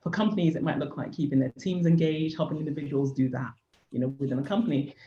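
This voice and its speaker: A woman's voice: low voice, steady tone, quick pace, dark tone. A woman in her forties from Nigeria, a business founder, candidly telling her life story as a podcast guest. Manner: earnest, candid storyteller with repeated "literally". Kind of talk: personal story in a podcast interview. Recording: echoey room, dull lo-fi sound.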